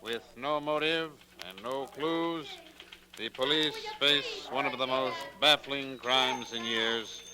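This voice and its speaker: An actor playing a brisk, businesslike newspaper editor with clipped delivery, in 1940s radio drama style. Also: male voice, mid-range voice, steady tone, crowd noise, lightly acted. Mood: brisk, reading aloud. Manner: clipped, reading copy aloud. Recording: 1949 radio recording, busy background.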